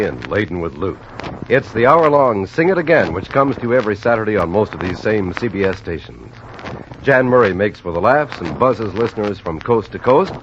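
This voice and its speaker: Male old-time network announcer, polished and lively, lo-fi. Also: on the low side, a little hiss or hum. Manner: polished and promotional. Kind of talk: 1950s broadcast announcing. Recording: dull lo-fi old radio broadcast.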